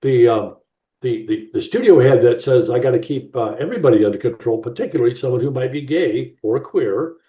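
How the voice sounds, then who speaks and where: A man's voice: mid-range voice, animated. A middle-aged man, an actor, chatting casually in an interview and often repeating words as he gets to his point. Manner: halting, repeats words as he talks. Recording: roomy, distant, dull call audio.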